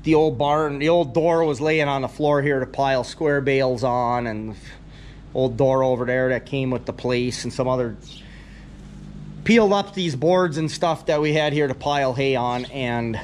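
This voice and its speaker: A middle-aged man, a farmer, telling a rambling story in a plainspoken way with many pauses and ums, recorded on a camera inside a big old wooden barn. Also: medium pitch, slight background noise. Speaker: farmer vlogger. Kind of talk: casual walkthrough narration. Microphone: action camera, held or set nearby.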